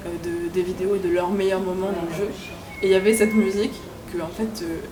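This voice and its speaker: A woman's voice: lowish voice, level tone, fast talker, over music. A young woman filmmaker answering interview questions casually, hesitant, with many fillers and restarts. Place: café venue. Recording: echoey room with ambient noise.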